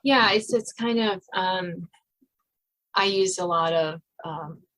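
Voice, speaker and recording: A woman hosting a casual interview chat, asking questions haltingly and rephrasing them as she goes. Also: fairly low pitch, fairly bright tone, poor audio. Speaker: podcast host, interviewer.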